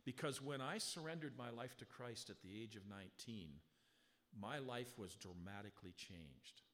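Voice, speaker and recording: A man preaching a sermon from the pulpit, talking with the congregation in a conversational way and slowing right down when he reads scripture aloud. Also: mid-range voice. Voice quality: strong voice. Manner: conversational preaching with rhetorical questions; slow, emphatic scripture reading. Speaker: pastor. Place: church sanctuary. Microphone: handheld or stand mic, sound adjusted live. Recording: long hall reverb.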